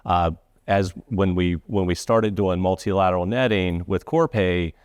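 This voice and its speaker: Low-voiced man, informal and halting. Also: darkish tone, clean tone, dry recording, clean studio sound. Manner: halting, rephrases as he goes. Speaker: corporate treasury professional, guest speaker. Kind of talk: informal first-hand account.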